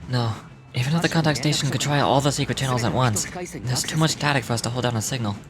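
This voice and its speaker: A man's voice: mid-range voice, quick pace, lightly acted. A man playing an anxious, earnest squad soldier in a video game. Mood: worried, earnest.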